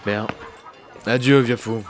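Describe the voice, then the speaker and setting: A man's voice: medium pitch, fairly even intonation, takes it slowly, natural voice. A man playing a brash, arrogant and impatient treasure hunter in a French audio drama, with a commanding, sardonic delivery. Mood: greedy, sardonic. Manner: commanding, sardonic, self-assured. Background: music.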